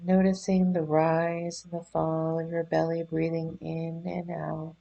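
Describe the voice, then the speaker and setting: Female meditation guide, soft-edged mellow tone, very unhurried delivery, gentle and soothing instructions. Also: low voice, monotone, vocal fry. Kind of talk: guided body scan meditation.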